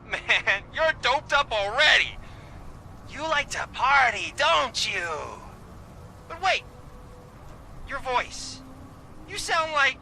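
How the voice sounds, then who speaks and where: A man's voice: very high-pitched, animated, slow pace, pauses often, pushed and bright, a little hiss or hum, full character voice, poor audio. A man playing a brash small-time drug dealer in a video game, talking in an agitated, streetwise, foul-mouthed way. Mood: impatient.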